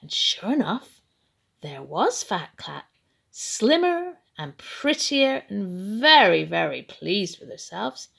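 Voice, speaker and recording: Female storybook reader, slow and theatrical, with creaky edges, for bedtime tales. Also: medium pitch. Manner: dramatic, expressive, deliberately paced. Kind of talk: animated children's bedtime story reading.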